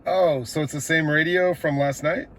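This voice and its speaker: A man's voice: a little high, steady tone, moderate pace. An American man working as a CB radio operator, making station calls and chatting casually in a laid-back, slangy way. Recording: clear background radio noise.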